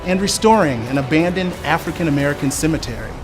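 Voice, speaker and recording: A man's voice: a little high, expressive, quick pace. A man reading a scripted tribute aloud as narration. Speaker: company employee reading submission stories. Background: prominent background music.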